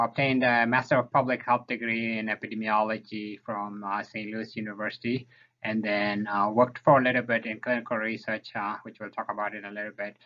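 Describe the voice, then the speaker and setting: Male presenter, East African accent, lowish pitch, halting delivery with restarts; informal research talk on dull lo-fi audio. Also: pushed and bright. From South Sudan, grew up in Ethiopia and Kenya. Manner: halting, frequent hesitations and restarts.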